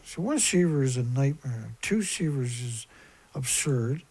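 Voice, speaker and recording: A man with a squeaky voice hosting a live stream in a rambling, blunt, aggrieved and emphatic monologue that often repeats itself. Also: animated, a little slow, a little mellow, breathy edge. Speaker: live stream host, environmental activist.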